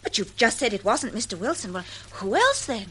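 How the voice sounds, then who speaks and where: Eager British secretary, high and swooping, slightly breathy, deferential and easily flustered, radio drama. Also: female voice, sing-song, brisk, sharp tone, exaggerated voice, low-quality recording. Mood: curious. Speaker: actress in a radio detective drama.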